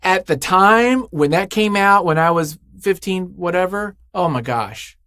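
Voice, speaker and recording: A man's voice: high voice, lively intonation, a little slow, sharp tone, clear, resonant tone, dry recording. A man speaking as an interview guest in casual, unscripted chat, effusive and emphatic, often thinking aloud.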